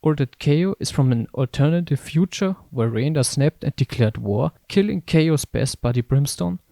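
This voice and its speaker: A man's voice: a little high, expressive, normal speed, gravelly, warm tone, dry recording, clean studio sound. A man reading scripted narration as a video essayist reviewing games.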